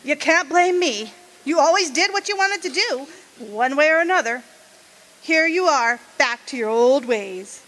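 Woman playing a prim, high, bright-voiced scolding mother. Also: lively intonation, a little slow, rough recording. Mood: disapproving. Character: stern, judgmental old-fashioned mother, prim and resentful. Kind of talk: radio drama acting.

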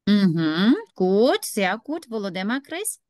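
A woman's voice: medium pitch, sing-song, slow, a little mellow, no echo, clean studio sound. A woman teaching a beginner German class over a video call, patient and encouraging with her students. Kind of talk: online language class.

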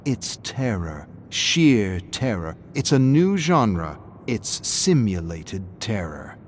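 Man doing a grandiose mock salesman's pitch. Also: very animated, takes it slowly, slight background noise. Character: mocking female writer imitating a pompous salesman.

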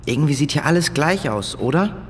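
A man's voice: fairly high pitch, animated, a little fast, music in the background, natural voice. A male actor playing a clever boy adventurer in a children's audio drama. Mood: matter-of-fact.

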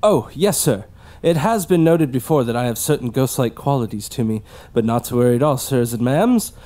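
A man's voice: mid-range voice, expressive, normal speed, dark tone, close to an everyday voice. A man playing eerie polite hitchhiker Tim. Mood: cheerful, polite. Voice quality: overly polite, odd and cheerful. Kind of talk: audio drama acting.

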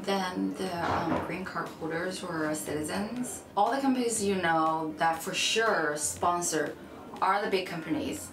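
A woman's voice: on the low side, a little slow, noisy background. A young woman from China, speaking English as a second language, answering interview questions in a frank, chatty way at a table. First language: Chinese. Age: young adult. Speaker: interviewee, UX designer. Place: bright room, seated at a table. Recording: echoey room with music under.

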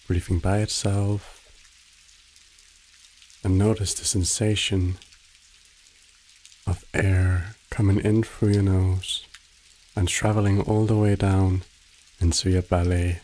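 A man narrating a guided meditation in a soothing, measured way, giving short instructions with long pauses. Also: low-pitched, darkish tone, low-quality recording.

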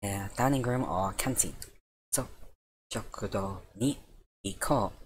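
A man's voice: on the low side, measured pace, a little hiss or hum. Man with a dry, tired voice. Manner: easygoing, thinks aloud and corrects himself. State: dry, tiring voice. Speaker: Japanese language teacher. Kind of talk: casual screen-share vocabulary lesson.

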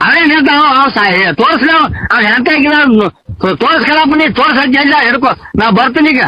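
Casting: very high-pitched North Karnataka man, argumentative, stammering repeats, dry and dull lo-fi call sound. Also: fast talker, dry recording. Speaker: prank call participant. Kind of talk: heated phone argument in village dialect. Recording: dull lo-fi phone call recording.

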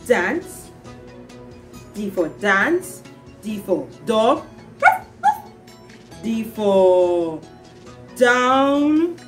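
A woman's voice: a little high, far from the mic. A woman in her thirties with a Trinidadian accent, hosting a preschool lesson and speaking in simple, instructive phrases to young children. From Trinidad and Tobago. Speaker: children's show host, preschool teacher. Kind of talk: presenting a preschool circle-time lesson to children. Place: small indoor room with a classroom display wall.

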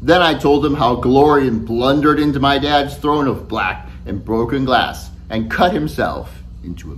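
Man reading a storybook with lively pitch swings. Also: a little hiss or hum. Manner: animated, conversational first-person storytelling. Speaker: author reading own book aloud. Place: small indoor room at home with shelves.

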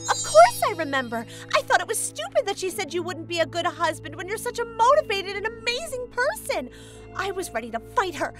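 Woman voicing devoted young fiancée, manga dub, high voice, animated delivery, bubbly, sweet. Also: fairly quick, over music. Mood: bubbly, affectionate.

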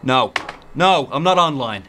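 A man's voice: medium pitch, expressive, measured pace, bright, forceful tone, a little hiss or hum. A man playing a sulky, game-obsessed slacker boyfriend with a grumbling, defensive manner in an audio drama. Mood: muttering, focused.

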